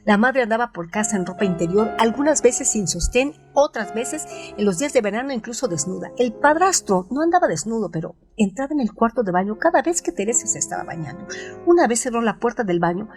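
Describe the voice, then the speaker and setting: A woman reading a novel aloud as narrator, in a measured, expressive style. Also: a little high, over music. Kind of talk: scripted audiobook narration.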